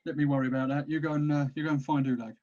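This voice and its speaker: A man's voice: fairly high pitch, flat delivery, rapid-fire, warm tone, natural voice. A man playing an evasive but determined orc captain in a fantasy comedy table read, with dull, muffled sound. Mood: firm, resolved.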